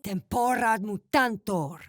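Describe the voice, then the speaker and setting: A woman's voice: mid-range voice, slow pace, dry recording, natural voice. Casting: woman to play snarky young female adventurer for a fantasy game, scripted delivery, close dry studio sound. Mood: commanding, incanting. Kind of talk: scripted video game voice lines, narration and spell incantations.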